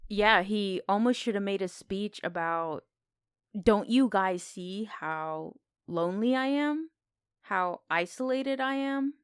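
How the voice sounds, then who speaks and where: A woman's voice: mid-range voice, expressive, slow, clean tone, no echo, clean studio sound. A woman co-hosting a podcast, discussing film in a casual, conversational way. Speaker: podcast co-host.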